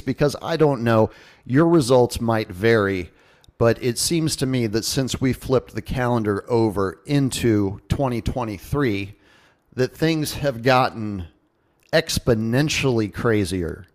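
A man hosting a radio show with a casual monologue, showman-like and given to wry asides. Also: lowish voice.

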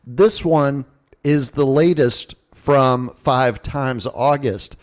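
A man's voice: medium pitch, drawn-out words. A man hosting a podcast in a casual, jokey radio-show style with informal asides. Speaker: podcast host.

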